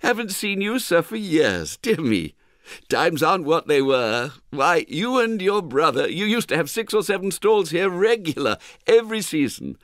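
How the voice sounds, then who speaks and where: A man's voice: a little high, very animated, moderate pace, fairly bright tone, no room sound, studio quality, pitched above the performer's own voice. A man voicing a chatty, deferential old box-office clerk in an audiobook, effusive and familiar. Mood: surprised, chatty. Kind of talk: audiobook character voice.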